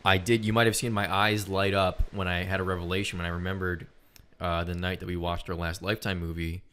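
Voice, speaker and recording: Young American male podcast co-host, slightly low voice, relaxed conversational delivery. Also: fairly quick, a little hiss or hum. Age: young adult. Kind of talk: casual chat.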